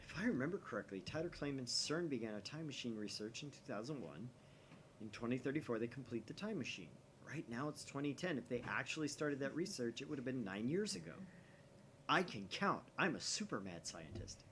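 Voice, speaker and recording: A man's voice: mid-range voice, lively intonation, brisk, fairly bright tone, slight background noise, natural voice. Man voicing a theatrical, paranoid young mad scientist. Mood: brooding, suspicious. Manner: theatrical, conspiratorial. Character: grandiose young self-styled mad scientist, male, paranoid.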